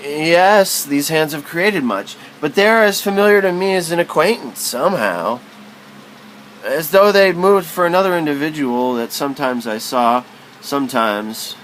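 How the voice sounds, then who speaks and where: A man giving a literary spoken-word recitation in a theatrical, halting, wordy way, stumbling and repeating himself. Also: on the high side, a bit bright, a little hiss or hum, low-quality recording.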